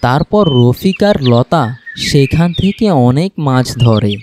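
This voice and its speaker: A man's voice: mid-range voice, animated, a little slow, darkish tone, a little hiss or hum. A man narrating a scripted cartoon story.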